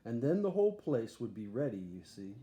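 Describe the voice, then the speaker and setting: A man's voice: mid-range voice, very animated, brisk, mellow, lightly acted. A man voicing an eager, boastful boy showman in a storybook, barking grandly like a ringmaster. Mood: eager, confident. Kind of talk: storybook read-aloud character. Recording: echoey room, faint background noise.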